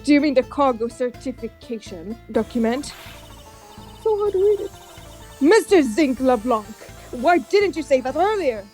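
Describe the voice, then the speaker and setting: A woman plays a haughty female prosecutor who taunts her rival with smug confidence. Her voice sits a little high, and faint music plays underneath. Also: big pitch swings, moderate pace, a little mellow.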